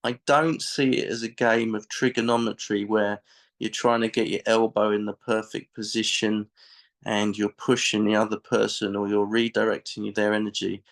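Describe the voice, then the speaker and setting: A man speaks in a low voice with a lot of creak. He is a martial arts instructor in an interview, giving emphatic, conversational explanations. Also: level tone, normal speed.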